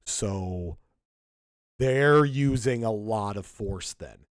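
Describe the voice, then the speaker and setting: A man's voice: on the low side, very slow, dry recording, studio quality. A man co-hosting a podcast, giving emphatic, indignant political commentary with frequent restarts. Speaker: podcast co-host.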